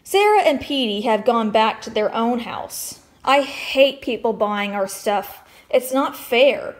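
A woman with a fairly high voice reads a storybook aloud as a teacher would. She is expressive and speaks to children, stepping out of the text to explain and ask questions. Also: takes it slowly. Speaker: teacher reading aloud to fifth graders.

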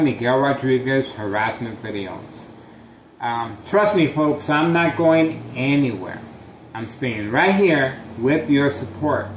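Slow, halting man with a phone camera. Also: mid-range voice, reverberant, a little hiss or hum, poor audio. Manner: halting, many fillers, intense and suspicious. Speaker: vlogger filming his street. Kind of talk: slow narrated street surveillance vlog.

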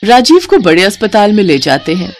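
A woman's voice: mid-range voice, big pitch swings, fairly quick, a little hiss or hum. A woman reading a scripted story as its narrator.

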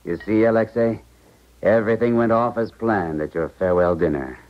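A man's voice: lowish voice, moderate pace, natural voice. An actor playing an earnest, insistent male scientist in a 1970s radio drama. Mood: persuasive. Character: earnest, persuasive male scientist pleading with an old friend. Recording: dull, muffled 1970s radio recording.

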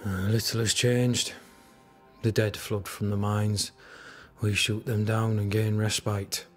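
An actor playing a despairing, fatalistic fort captain in a video game, speaking in formal, doom-laden phrases with bitter grandeur. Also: male voice, on the low side, little pitch movement, faint music behind. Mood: grim, doom-laden. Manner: slow, grim, doom-laden formal speech. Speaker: military officer character in a video game. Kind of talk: dramatic video game acting.

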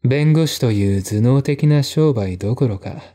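A man reading a mystery novel as narrator, with measured, deliberate, dramatic storytelling. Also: mid-range voice, animated, warm tone. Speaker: narrator and reader.

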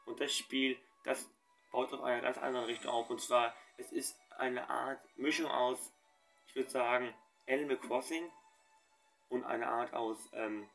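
Young male let's play host, mid-pitched, rambling with lots of fillers, faint game music underneath. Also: fairly even intonation, measured pace, vocal fry, a bit bright. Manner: rambling, many fillers and restarts. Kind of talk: casual let's play commentary.

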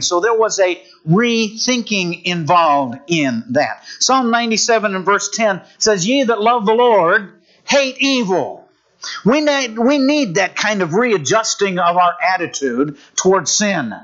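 Male preacher, fairly high voice, earnest and measured, dull lo-fi church recording. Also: a bit bright. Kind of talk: sermon.

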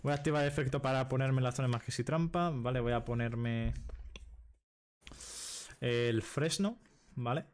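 A man's voice: medium pitch, normal speed, pauses often, a little mellow. A young man from Spain, chatting casually on a live stream as he plays a card game, thinking aloud and dropping "vale" into nearly every sentence, recorded in a small room at home. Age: young adult. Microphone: desk microphone with headset.

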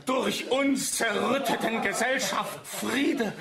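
An actor playing an earnest, idealistic officer declaiming grand theories in a radio play, with a crowd behind him. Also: male voice, very high voice, takes it slowly, exaggerated voice. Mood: fervent theorizing. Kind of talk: radio drama acting. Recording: people laughing, crowd behind.

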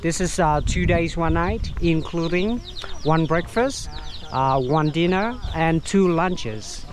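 A man with a Vietnamese accent, a tour guide vlogging casually while trekking outdoors, speaking halting English and searching for words, recorded on an action camera. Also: fairly high pitch, slight background noise. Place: outdoors on a mountain trail. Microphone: action camera carried along.

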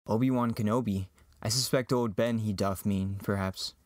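A man's voice: fairly low pitch, steady tone, moderate pace, a little mellow, no room sound, studio quality, natural voice. A man playing an earnest, lovestruck young farm boy hero, declaiming verse in an amateur Shakespearean reading. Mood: earnest, wondering.

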